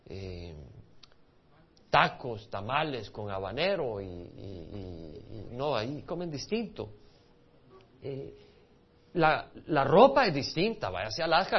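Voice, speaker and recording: A man's voice: on the low side, husky. A man from El Salvador with a Salvadoran Spanish accent, a pastor teaching a Bible study in a conversational way and asking his listeners questions. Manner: conversational, asks the audience questions, restarts words. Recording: dull lo-fi recording.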